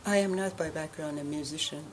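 A woman in her sixties, born in Iran, answering interview questions in a measured, deliberate way with frequent pauses. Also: deep voice, noisy background. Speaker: guest, association president.

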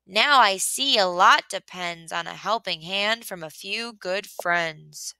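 Woman as a blustering big dump truck, crisp. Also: medium pitch, takes it slowly, pushed and bright, no room sound, voice pushed down, full character voice, low-quality recording. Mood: grateful, humbled. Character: big self-important male dump truck.

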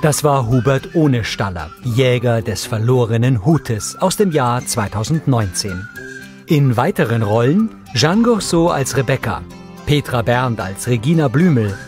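A man's voice: medium pitch, animated, measured pace, a little mellow, faint music behind. A man reading scripted audio description narration for a television series.

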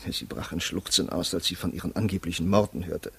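An actor in a 1950s radio drama playing an officious, exasperated defense lawyer, agitated and pleading. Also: male voice, sits low, fairly even intonation, brisk, creaky, a bit bright, slightly rough, exaggerated voice. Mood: earnest.

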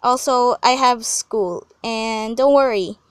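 Clear, high-voiced young woman, unhurried. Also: dry recording, clean studio sound. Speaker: channel creator and comic dubber. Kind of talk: casual outro to viewers.

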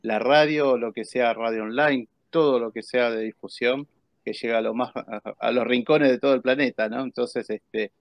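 A man's voice: mid-range voice, steady tone, moderate pace, dry recording, lo-fi sound. An Argentine man in his forties hosting a casual interview chat. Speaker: host. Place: indoors.

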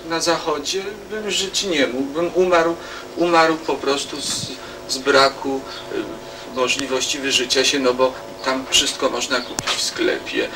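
A man in his forties speaking off the cuff in an interview, halting and repeating himself. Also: mid-range voice, fairly even intonation, noisy background. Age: 40s. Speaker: artist and inventor interviewee. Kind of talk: spontaneous documentary interview. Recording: distant mic, old film soundtrack.

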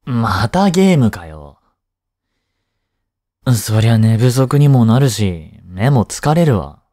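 A man plays a young male tutor in an intimate audio drama. His voice is mid-pitched, mellow and soft, recorded dry. He takes his time, soothing and gently scolding with a teasing, caring manner. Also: big pitch swings, slow pace, no echo. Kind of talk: intimate one-sided voice drama aimed at women.

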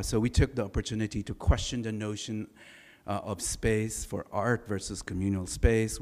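A middle-aged man with a baritone voice giving a relaxed, anecdotal architecture lecture, often pausing on filler sounds. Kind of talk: conversational design lecture. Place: large lecture hall. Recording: reverberant lecture hall.

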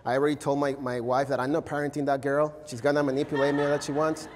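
Spanish-accented man, 30s, guest preacher; casual, tagging "right?"; lifted pitch, long church reverb. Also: level tone, quick pace, slight background noise. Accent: Venezuelan Spanish. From Venezuela. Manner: casual, chatty, frequent "right?". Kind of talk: sermon. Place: large church sanctuary. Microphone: podium mic, standing at pulpit.

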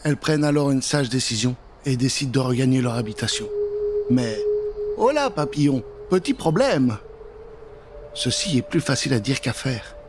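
A man's voice: medium pitch, animated, normal speed, noisy background. A middle-aged man narrating a spooky children's tale in a theatrical, playful way, speaking straight to the listeners on a close studio microphone. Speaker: storyteller, narrator. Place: dark studio booth. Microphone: close studio microphone with pop filter. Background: prominent music.

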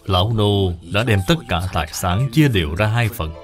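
A man's voice: on the low side, lively intonation, normal speed, soft background music, lightly acted. A man voicing a devoted, humble male servant in his eighties, dying and giving earnest, solemn advice in a cartoon. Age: 80s.

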